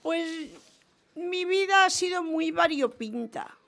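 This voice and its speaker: An actress playing a gushing, rambling ninety-two-year-old widow who calls a radio quiz, in a comic radio play. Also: female voice, extremely high pitch, lively intonation, slow, gravelly, a bit bright, full character voice. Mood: reminiscing, chatty. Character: chatty, lively 92-year-old widowed former nun and teacher. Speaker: quiz show caller. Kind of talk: comic radio theatre acting.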